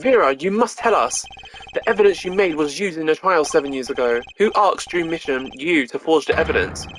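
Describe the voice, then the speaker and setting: A streamer voicing an earnest young male defense lawyer in a courtroom video game, pressing with forceful delivery. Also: medium pitch, fairly quick, a little hiss or hum, natural voice. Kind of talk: reading game dialogue aloud on stream.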